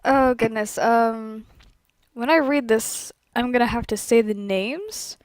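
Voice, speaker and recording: A woman with a high voice talks casually as a channel host. She is a bit hesitant, and the sound is dry and close with no echo. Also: slow pace. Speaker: fan fiction reader and gaming channel host. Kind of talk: casual intro chat.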